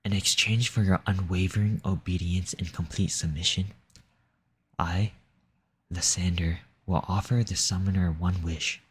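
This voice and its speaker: Man voicing a male demon, very low pitch, husky and breathy, domineering, seductive, formal, intimate audio roleplay. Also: fairly even intonation, measured pace, heavily acted. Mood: commanding, smooth. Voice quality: husky, breathy, often dropping into whisper. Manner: formal, menacing, coaxing.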